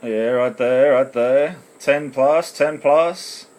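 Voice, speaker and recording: A man's voice: medium pitch, slow, darkish tone. Slangy man chatting on CB. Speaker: amateur CB operator filming on phone. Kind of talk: casual CB radio banter. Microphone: phone recording in the radio room.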